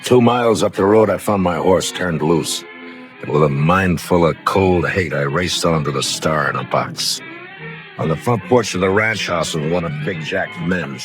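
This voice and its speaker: An actor as a low-voiced, grim frontier marshal. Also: male voice, moderate pace, natural voice. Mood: grim narration. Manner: terse, hard-boiled, deadpan. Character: grim, determined male frontier marshal who narrates. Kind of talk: 1950s radio western acting and narration. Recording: vintage radio recording with music.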